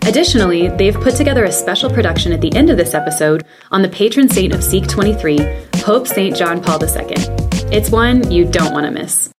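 A woman's voice: mid-range voice, animated, a little fast. A woman reading a scripted, welcoming podcast introduction as host. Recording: music bed behind voice.

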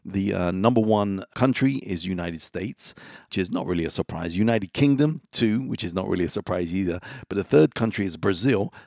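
A man's voice: low-pitched, fairly quick, creaky, no echo, clean studio sound. A man hosting a music podcast, chatting casually and directly to his listeners between songs. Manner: relaxed, chatty, talks straight to listeners.

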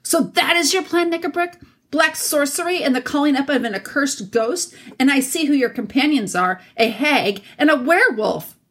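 A woman voicing a proud young boy king, forceful, regal and earnest in manner. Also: lowish voice, lively intonation, normal speed, lightly acted. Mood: thundering outrage.

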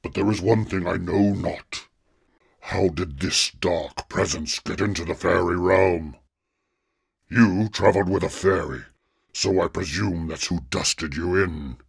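A man voicing a stately, commanding troll king who speaks slowly and gravely. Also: low voice, no room sound, clean studio sound, exaggerated voice. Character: deep-voiced regal troll king, commanding.

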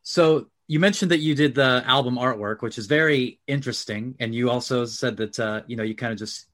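A man's voice: mid-range voice, fairly quick, fairly bright tone. A man hosting an interview, asking easygoing, curious questions. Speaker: interviewer, host.

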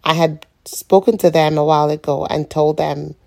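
A middle-aged woman with a croaky morning voice, speaking in a rambling, reflective way on an informal solo podcast. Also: sits low, fairly even intonation, moderate pace, a little mellow. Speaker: podcast host.

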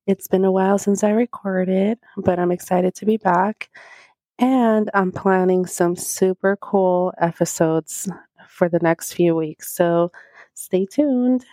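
A woman's voice: mid-range voice, steady tone, slow, dark tone, no echo. Scratchy-voiced woman chatting casually. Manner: chatty, rambling, filler-heavy storytelling. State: scratchy voice from recovering illness. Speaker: podcast host. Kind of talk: casual solo podcast chat. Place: small quiet office, alone. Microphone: small handheld microphone.

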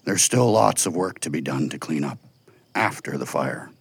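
A man playing a huge, jovial furry beast who teases in a gravelly, husky voice in a children's audio drama. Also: expressive, no echo, exaggerated voice. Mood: playful, teasing. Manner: unhurried, booming, playfully teasing.

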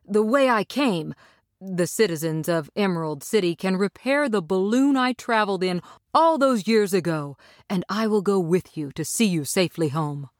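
A woman's voice: mid-range voice, normal speed, dry recording, lightly acted. A woman voicing a kindly, sheepish little old man, a fraudulent storybook wizard who speaks apologetically. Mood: gentle. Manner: stuttering, sorrowful.

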